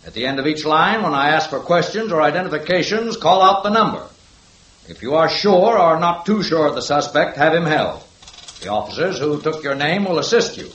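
A brisk, commanding radio police sergeant, higher-pitched voice, echoey old broadcast. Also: male voice, expressive, fairly bright tone, dull audio. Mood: firm, official. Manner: firm, orderly, barks instructions. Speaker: police sergeant running the lineup. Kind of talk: 1950s radio drama acting.

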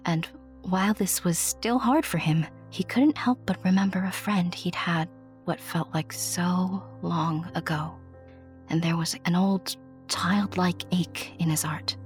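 A woman narrating a fairy tale in a tender, hushed, dramatic way, speaking directly to the listener. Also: fairly low pitch. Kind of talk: dramatic scripted storytelling. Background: music.

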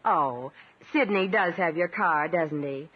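A woman's voice: lowish voice, slow pace, slightly rough. An actress playing a gracious, trusting lady with a polite, solicitous manner in a 1950s radio comedy. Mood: pleased, fond. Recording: noisy, muffled old radio recording.

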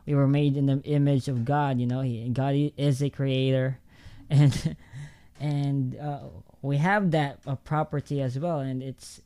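A man hosting a podcast, asking questions in a halting, friendly way. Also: mid-range voice, level tone, dark tone, clear, resonant tone. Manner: halting, many fillers and restarts, friendly. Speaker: podcast host. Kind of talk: casual interview chat.

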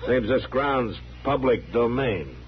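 An actor playing a stern, steady American frontier marshal in a radio western, deep and firm, giving terse, unhurried commands. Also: male voice. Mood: calm, unyielding. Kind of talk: 1950s radio western acting. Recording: muffled, noisy old 1950s radio recording.

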